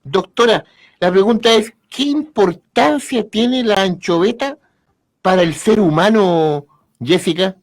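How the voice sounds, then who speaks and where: A man's voice: high-pitched, measured pace. A man hosting an interview, courteous, often repeating words and stammering as he asks questions. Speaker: radio show host.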